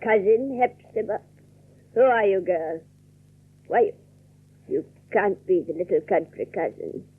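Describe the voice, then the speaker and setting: An actress playing a proud, bitter, frightened old spinster in a radio drama, tremulous and halting. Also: female voice, medium pitch, animated, breathy edge, narrow, tinny audio. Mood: wary, weary. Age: older.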